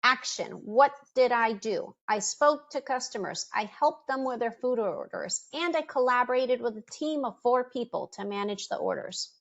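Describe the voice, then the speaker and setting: Quick-talking woman, Spanish her first language. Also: fairly high pitch, animated, vocal fry, highs cut off. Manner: quick, conversational, coaching tone with frequent ums. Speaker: career presenter. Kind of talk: online webinar presentation.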